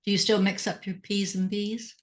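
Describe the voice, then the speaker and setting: A woman's voice: medium pitch, little pitch movement, moderate pace. A woman hosting an interview podcast, a physician herself, asking curious follow-up questions and giving short affirmations. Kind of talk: conversational interview.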